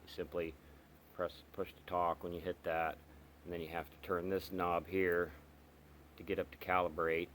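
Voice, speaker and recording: A man casually walking through the features of a radio he is reviewing, explaining step by step with many pauses and restarts. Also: sits low, fairly bright tone, a little hiss or hum. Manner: halting, lots of ums and restarts, explains step by step. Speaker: radio hobbyist reviewing gear. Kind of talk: casual product walkthrough.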